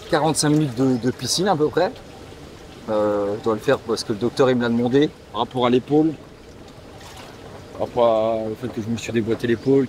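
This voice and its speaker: A man's voice: mid-range voice, a little mellow, echoey room, a little hiss or hum. A man from Luxembourg with a Luxembourgish accent, chatting casually as he answers fan questions and often restarts his phrases. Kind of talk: casual Q&A chat.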